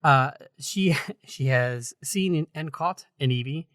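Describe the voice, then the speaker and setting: A man's voice: on the high side, slow, a bit bright, no echo, studio quality. A man co-hosting a gaming podcast, chatting casually and often chuckling as he talks. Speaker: podcast co-host.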